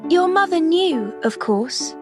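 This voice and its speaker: Kindly young sleuth for a mystery game: high voice, soft questioning manner, music underneath. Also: female voice, animated, slow pace, exaggerated voice. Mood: kindly, assured. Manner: gentle, questioning. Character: bright, kindly young lady detective, gently persuasive. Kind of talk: animated mystery game voice acting. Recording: clear music behind the voice.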